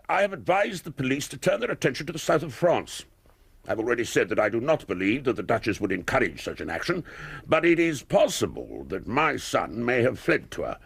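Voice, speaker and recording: An actor playing a stiff, guarded aristocratic duke in a British radio drama, speaking formally and curtly with an English accent and halting pauses. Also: male voice, mid-range voice, gravelly, fairly bright tone, slightly rough, no echo, exaggerated voice.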